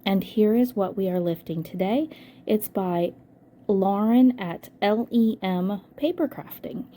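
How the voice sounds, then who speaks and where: Older lady, hoarse from a cold, rambly um-filled craft voiceover, warm low-key tone. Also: unhurried, slight background noise. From United States. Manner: chatty, thinks aloud with frequent ums. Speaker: scrapbooking channel host. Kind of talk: casual crafting voice-over.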